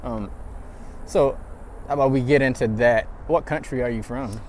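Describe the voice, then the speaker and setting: A man hosts a casual street interview on a trail outside, relaxed and easygoing as he asks his questions. His voice sits at a middle pitch. Faint traffic hums in the background. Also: moderate pace. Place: outdoors on a trail.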